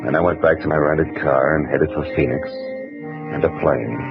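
An actor playing a world-weary private detective, narrating laconically in a 1950s radio drama. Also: male voice, rumbling low, steady tone, moderate pace, creaky, over music. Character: hard-boiled male private eye, world-weary, wry. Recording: dull old radio recording.

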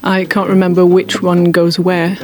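A young adult woman from Sweden with a Swedish accent, a native Swedish speaker, answering interview questions in a thoughtful, halting, self-deprecating way at a convention. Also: fairly low pitch, monotone. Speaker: author guest. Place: convention venue. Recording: chatter of a convention crowd behind.

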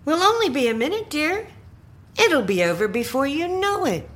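An actress playing a sly, folksy older great-aunt in an audio drama, coaxing and condescending with sweet endearments. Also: female voice, sits high, sing-song, moderate pace.

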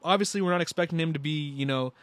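A young man co-hosting a sports podcast, talking in an excitable, slangy way and repeating himself. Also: fairly high pitch, fast, dry recording. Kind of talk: casual basketball chat.

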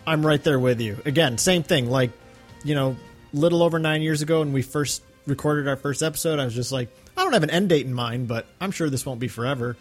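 A man's voice: fairly high pitch, fairly quick, soft background music. A man co-hosting a podcast in casual chat, earnest and chatty with many fillers. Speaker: podcast co-host.

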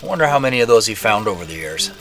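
Audio drama lead: guarded, playful CEO brother, conversational first-person narration, lowish pitch, light music underneath. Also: male voice, very animated, very fast, sharp tone. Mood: reflective. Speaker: actor and narrator.

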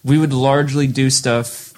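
A man's voice: medium pitch, moderate pace. A man in his thirties, a record label co-founder, chatting loosely in an interview with lots of fillers. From Western Massachusetts, US. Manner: relaxed, rambling, many "like" fillers. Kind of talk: casual interview chat.

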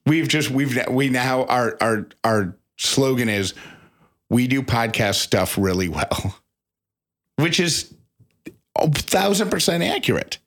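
A man's voice: medium pitch, animated, takes it slowly. A man co-hosting a podcast in casual conversation, often rephrasing himself as he goes. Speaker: podcast co-host.

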